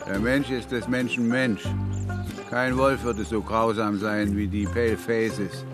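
A man's voice: lowish voice, steady tone, a little slow, music in the background, close to an everyday voice. An actor playing a brooding, insistent man who talks in terse, earnest statements in a radio drama.

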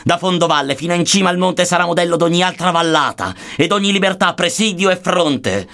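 A man's voice: sits high, animated, fairly quick, creaky, a bit bright. A man recites archaic epic verse in a solemn, theatrical manner. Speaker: reader of verse. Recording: music under the voice. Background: music.

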